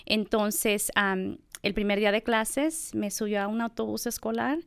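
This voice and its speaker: Middle-aged woman academic, reflective interviewee, gravelly but clear, dry close sound. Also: medium pitch, flat delivery, measured pace, no echo. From United States. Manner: thoughtful, reflective, many fillers. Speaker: assistant professor of bilingual education. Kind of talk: conversational interview.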